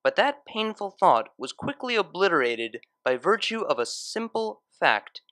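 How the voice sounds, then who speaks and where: A male storyteller reads scripted fiction with dramatic, literary phrasing. His voice sits slightly high and swings widely in pitch, and the recording is close and dry. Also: very animated, a little slow, sharp tone, dry recording. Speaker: story narrator. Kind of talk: expressive scripted fan fiction narration.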